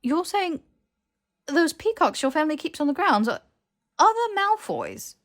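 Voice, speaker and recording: A woman reads as a stubborn young male wizard in an audiobook. The pitch is lifted, and he sounds earnest and incredulous, pressing his questions insistently. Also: big pitch swings, unhurried.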